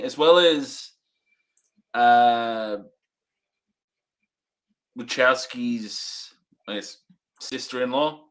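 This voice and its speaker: A man with a bright, forceful voice in a mid register gives his take in a casual review chat. He rambles and restarts often, with lots of ums and hedging. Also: medium pitch. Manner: rambling, hesitant opinions with many restarts. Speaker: co-host.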